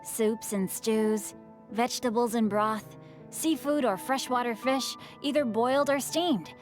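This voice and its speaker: A woman's voice: fairly high pitch, a little slow, soft background music, lightly acted. A woman voicing a refined, playful, bookish teenage boy in a video game, with a florid, genteel manner.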